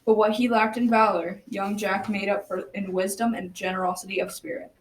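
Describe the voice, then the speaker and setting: A woman's voice: mid-range voice, flat delivery, fairly quick, close to an everyday voice. A teenage girl narrating an old folktale as the storyteller of a school radio drama. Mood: measured telling. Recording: roomy, distant mic, faint noise.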